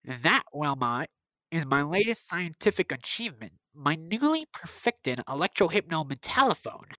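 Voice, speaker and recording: A low, dry-recorded voice with swooping intonation: a woman acting an absorbed, kindly doctor of the future. The delivery is deliberate and soothing, with scholarly hesitations, in the style of old radio drama. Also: big pitch swings, a bit bright, no room sound. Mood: reassuring, proud.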